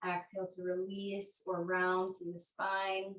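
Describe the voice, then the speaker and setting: A woman's voice: lowish voice, completely flat delivery. Woman guiding yoga in an echoey room. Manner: gentle, unhurried guiding. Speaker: yoga teacher. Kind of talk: guided yoga class instruction. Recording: echoey room, distant microphone.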